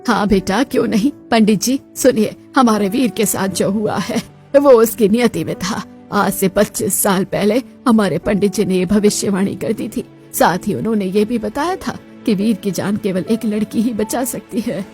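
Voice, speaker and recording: Woman doing a bossy old rich grandma, solemn n deliberate, story drama, music behind. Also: mid-range voice, mellow, natural voice. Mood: solemn, insistent. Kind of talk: dramatized moral story acting.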